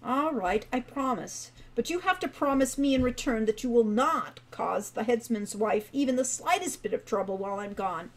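A woman's voice: a little high, lively intonation, moderate pace, few pauses, poor audio. A woman voicing an earnest male companion who gives a firm promise.

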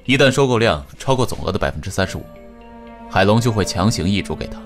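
An actor playing a composed young company heir who talks in a calm, measured, authoritative way. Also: male voice, lowish voice, soft background music. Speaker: company head in an audio drama. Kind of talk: amateur audio drama acting.

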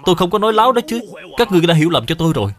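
A man's voice: high-pitched, sing-song, racing, a bit bright, voice pushed up. A man voicing a shifty, blustering male bully, accusing and protesting, in an educational cartoon. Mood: defensive.

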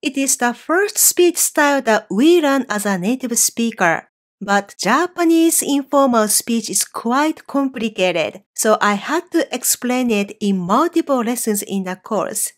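A woman teaching a Japanese course online, explaining clearly and slowing down on example phrases. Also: fairly high pitch, expressive. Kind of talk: scripted online lesson.

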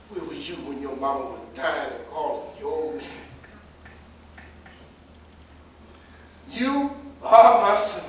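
A man's voice: high-pitched, a little hiss or hum. An actor playing an older father, accusing and grief-stricken, in a theatrical staged reading. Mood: bitter, cold. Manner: accusing, forceful, repeats phrases for emphasis. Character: grieving, furious older father disowning his murderer son. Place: indoor hall, staged reading. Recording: echoey hall, distant microphone.

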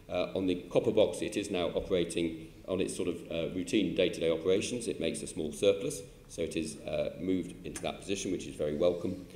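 Low-voiced older British man, halting testimony. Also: darkish tone, slight background noise. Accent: British English. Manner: measured, halting, many filler sounds. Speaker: chief executive answering questions. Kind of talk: formal committee testimony. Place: large council chamber. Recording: echoey hall with faint ambience.

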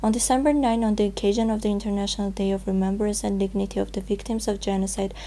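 A woman's voice: medium pitch, gravelly, dark tone. A young woman reading scripted news in a formal manner as a presenter. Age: young adult.

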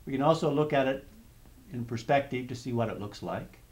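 Male narrator, mid-pitched, steady and methodical tutorial voice-over with occasional restarts. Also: a little fast. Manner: steady step-by-step explaining with small restarts. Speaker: software demonstrator. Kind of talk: software tutorial screencast narration.

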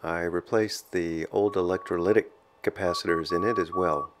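A man giving a measured, halting voice-over narration as a hobbyist explaining his own project. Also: sits low, fairly even intonation, background noise. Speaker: amateur radio hobbyist narrating own restoration.

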